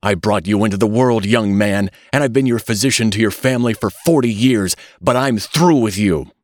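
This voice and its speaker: A man voicing an irritable old family doctor in an audiobook, with a sharp, cutting, scolding manner. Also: deep voice, lively intonation, measured pace, no echo, clean studio sound, full character voice. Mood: indignant, cutting. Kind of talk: audiobook character acting.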